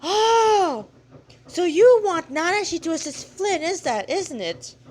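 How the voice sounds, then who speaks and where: A woman voicing a bold, quick-witted teenage girl in a story she reads aloud. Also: very high-pitched, sing-song, a little mellow, voice pushed up, heavily acted. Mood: surprised.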